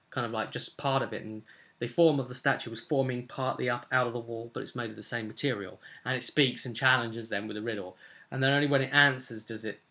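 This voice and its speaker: Young man, bright voice, casual recap. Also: mid-range voice. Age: young adult. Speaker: game master recapping a tabletop role-play session. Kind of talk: casual unscripted vlog recap. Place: small furnished room at home, indoors.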